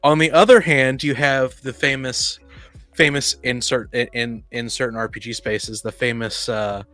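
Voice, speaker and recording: A man co-hosting a casual discussion of tabletop games, acting out imagined quotes in an animated way. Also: mid-range voice, normal speed, soft background music. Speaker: podcast co-host and game master.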